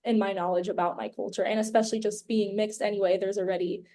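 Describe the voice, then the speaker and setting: A woman's voice: mid-range voice, steady tone, fast, dark tone. A young woman from the United States, a podcast guest, talking in a chatty, rambling way full of "like" and "um". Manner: chatty and rambling, leans heavily on "like" and "um". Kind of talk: casual conversational interview.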